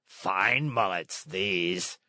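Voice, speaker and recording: A man's voice: low-pitched, very slow, sharp tone, no room sound, studio quality, full character voice. A man voicing an ugly, greedy green fisherman monster in a children's storybook, savoring his words with pompous courtesy. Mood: gloating, savoring. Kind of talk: children's storybook read-aloud.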